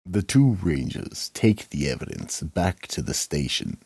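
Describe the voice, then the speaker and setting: A man playing an ominous vault keeper who slowly and dramatically narrates an old-time radio mystery, with a deep, gravelly voice. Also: very animated, a little mellow, no room sound, heavily acted. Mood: grave storytelling. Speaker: host and narrator of a horror audio drama.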